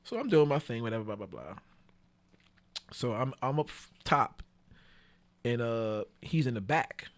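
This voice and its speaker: A man telling bawdy stories casually as a podcast guest, slangy and animated, acting out what people said. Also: medium pitch, measured pace, pauses often. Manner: animated, slangy, with acted-out quotes.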